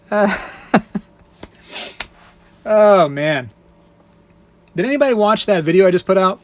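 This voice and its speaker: A man's voice: high voice, animated, slow, long pauses, slight background noise. A man hosting a casual live stream, reading viewer comments aloud and answering them in a joking, rambling way. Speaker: livestream host.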